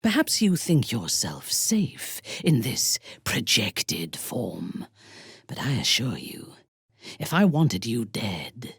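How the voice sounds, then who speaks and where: A woman playing a proud, ruthless, seductive aristocratic villain in a video game, speaking in an imperious, deliberate, softly menacing way with a husky, breathy voice. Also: very deep voice, sing-song, no room sound, studio quality, full character voice. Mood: cold, imperious. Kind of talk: dramatic video game voice acting.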